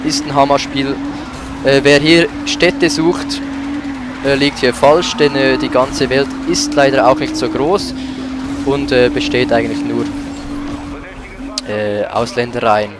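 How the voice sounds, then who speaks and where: A man records a casual let's play commentary in an everyday, chatty style. His pitch sits in the middle range for a man. Loud music and racing game car sounds run behind his voice. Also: fairly even intonation, unhurried, long pauses. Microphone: voice-over mic over game audio.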